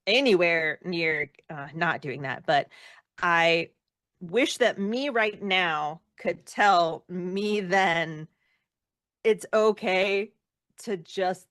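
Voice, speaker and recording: Low-pitched woman, candid and conversational. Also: extremely slow, a bit bright, no room sound, clean studio sound. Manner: candid, thinks aloud with many self-corrections. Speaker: interview guest, actor. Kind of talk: casual reflective interview answers.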